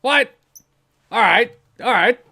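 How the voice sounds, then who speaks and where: A man's voice: extremely high pitch, lively intonation, slow, gravelly, sharp tone, slightly rough. A man explaining video games in casual, informal chat, with some swearing.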